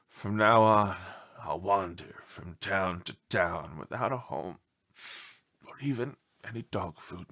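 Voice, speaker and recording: A plaintive, melodramatic pet dog from a storybook, acted out by a man. The voice is bright and swings widely in pitch, sinking into mournful, breathy sighs as it plays up its suffering. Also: heavily acted. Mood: mournful, martyred. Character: melodramatic, self-pitying pet dog writing plaintive letters home. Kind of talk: storybook read-aloud character.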